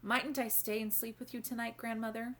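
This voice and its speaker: A woman's voice: a little high, level tone, a little fast, sharp tone, slight background noise, voice pushed up. A woman voicing a sweet, curious and polite little princess in a storybook read-aloud, exclaiming and asking wide-eyed questions. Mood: earnest.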